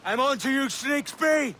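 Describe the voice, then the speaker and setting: An actor playing a brash, mocking young male pirate in a video game, forceful and taunting. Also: very high-pitched, noisy background. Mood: gloating. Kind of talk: cinematic game dialogue.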